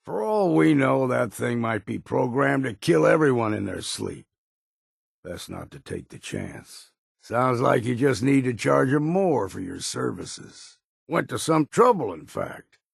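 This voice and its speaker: A gruff old hunter from a fishing harbor in a video game. His voice is deeply gravelly and husky, with lively swings in pitch. He talks in a folksy, blunt, stubborn way, recorded dry and close. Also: measured pace, no room sound, heavily acted. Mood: scornful, angry. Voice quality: gravelly, rasping. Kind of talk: video game character acting.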